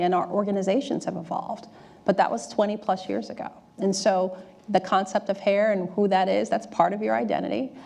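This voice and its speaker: Candid interview guest: middle-aged woman, emphatic delivery, gravelly fry. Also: mid-range voice, a little mellow. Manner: candid, emphatic, often adding "right?". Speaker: interview guest, corporate diversity executive. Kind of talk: candid on-stage interview answers. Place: auditorium stage before an audience.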